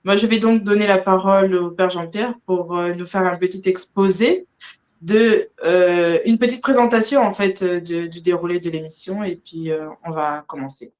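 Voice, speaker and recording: A woman hosting a live religious discussion, partly reading from notes, with a hesitant, halting delivery. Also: lowish voice, darkish tone. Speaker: host of a religious discussion show.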